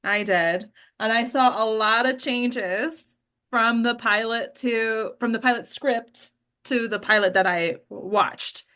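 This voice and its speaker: A woman's voice: on the high side, takes it slowly, pushed and bright. A woman hosting and coaching actors in an informal talk, frank and addressing her listeners directly. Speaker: host and acting coach.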